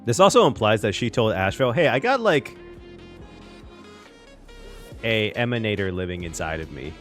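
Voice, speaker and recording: Casual game-reaction host: expressive, excitable, very varied pitch, soft music underneath. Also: normal speed. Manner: excitable, giggly, expressive, swearing.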